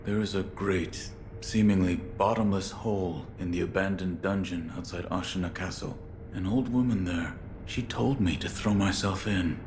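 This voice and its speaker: A man's voice: deep voice, normal speed, background noise. A man voicing a stoic, taciturn shinobi warrior in a video game, speaking in a terse, grave, restrained way. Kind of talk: English game voice acting.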